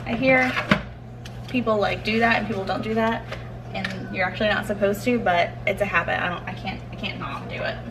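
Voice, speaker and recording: A woman vlogging casually while sick, her voice raspy and hoarse, tired and short of breath, with small laughs between sentences. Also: mid-range voice, steady tone, a little fast, noisy background. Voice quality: raspy, weak voice. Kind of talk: casual vlog chat.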